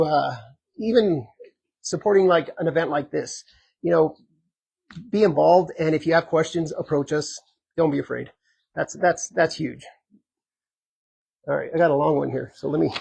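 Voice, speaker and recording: A man running for sheriff, answering audience questions at a community meet and greet in an earnest, conversational way, hoarse and full of filler ums. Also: on the high side, darkish tone, low-quality recording. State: hoarse, losing his voice. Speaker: sheriff candidate.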